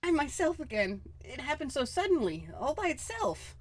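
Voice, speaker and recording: A man's voice: very high voice, sing-song, normal speed, voice pushed up. A man voicing an anxious, devoted young woman in a melodramatic comic-book reading. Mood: distressed.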